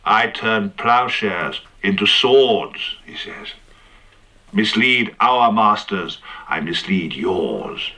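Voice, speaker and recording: A man's voice: lowish voice, animated, slow, pushed and bright, heavily acted. An actor playing a boozy, flippant British man telling his story under questioning in a radio drama, wry, clipped and theatrical. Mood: intense, remembering.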